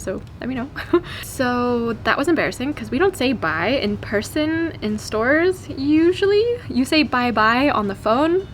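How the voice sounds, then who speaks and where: Young american gal vlogging, bit high voice, giggly and chatty, faint rumble behind. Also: female voice. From California. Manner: chatty, giggly, lively storytelling. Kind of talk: casual chat to camera.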